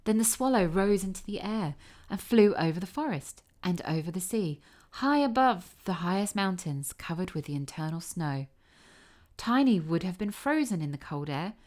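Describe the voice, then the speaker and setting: A woman narrates a scripted fairy tale for children. Her voice sits a little low for a woman, and her storytelling is measured and expressive. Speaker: audiobook narrator.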